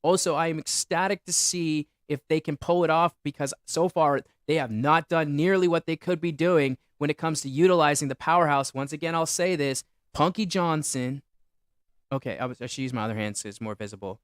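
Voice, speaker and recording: A young man hosting a solo livestream, rambling and boasting loudly about himself. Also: fairly high pitch, a little fast, dry recording, clean studio sound. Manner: boastful, rambling, self-aggrandizing. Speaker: livestream host.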